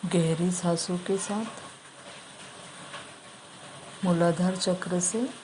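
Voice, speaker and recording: A woman leading a guided meditation, giving instructions in short, measured phrases with long pauses between them. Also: on the low side, fairly even intonation, darkish tone, slight background noise, low-quality recording.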